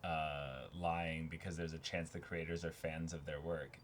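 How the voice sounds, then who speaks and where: A man's voice: a bass voice, little pitch movement, unhurried, noisy background. A man co-hosting a comic read-along stream, reading dialogue aloud and reacting casually in a jokey, mock-dramatic, sweary way.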